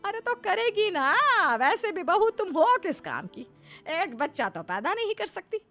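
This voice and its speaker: A woman's voice: extremely high pitch, big pitch swings, unhurried, fairly bright tone, faint music behind, full character voice. A woman voicing a domineering older mother-in-law in a cartoon story, with a scolding, insistent and commanding manner. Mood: harsh, commanding. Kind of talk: Hindi cartoon story voice acting.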